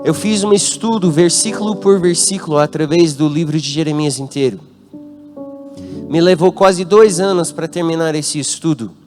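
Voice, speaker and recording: A man's voice: a little high, normal speed, over music. A man from the United States, a native English speaker, preaching a youth-conference sermon with an American accent and drawing the congregation into call-and-response. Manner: interacts with the congregation, asks them to call out answers. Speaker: guest pastor. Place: church hall.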